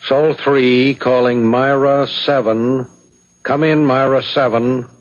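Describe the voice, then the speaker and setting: A businesslike radio-operating secretary, played by a man, talks slowly and procedurally at an average male pitch. The sound is that of a dull seventies radio drama. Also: level tone, natural voice. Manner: procedural, measured. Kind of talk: 1970s radio drama acting.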